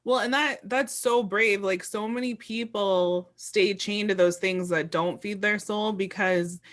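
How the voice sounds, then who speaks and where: A woman's voice: medium pitch, steady tone, a little slow. A woman interviewer in casual chat, earnest and supportive, rambling through her thoughts.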